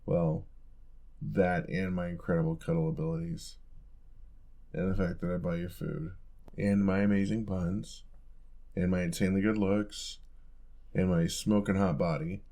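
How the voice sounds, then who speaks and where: A young man playing an affectionate, playful roommate in an intimate audio roleplay, talking in a soft, casual, teasing way. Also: deep voice, flat delivery, unhurried, creaky, long pauses.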